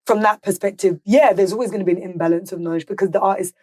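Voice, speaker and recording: A woman's voice: mid-range voice, fairly even intonation, rapid-fire, a little mellow. A woman speaking as a podcast guest in a casual panel discussion, blunt, emphatic and sure of herself. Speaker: podcast guest, music legal and business affairs manager.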